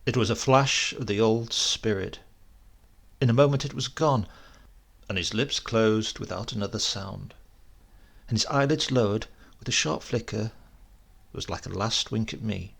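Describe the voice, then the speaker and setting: A man narrating a literary short story in a measured, descriptive manner. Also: on the low side, gravelly, fairly bright tone, husky. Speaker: audiobook narrator.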